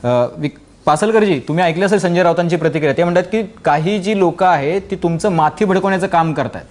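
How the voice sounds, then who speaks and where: A man's voice: high-pitched, quick pace, a little mellow. A man moderating a live news debate as host, pressing his guests with questions.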